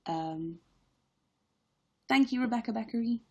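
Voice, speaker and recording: A woman's voice: fairly high pitch, expressive, unhurried, slightly rough. A young woman who acts on stage, chatting candidly in a live-stream Q&A with lots of fillers. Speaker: stage actress livestreaming a Q&A. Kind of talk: casual live-stream chat.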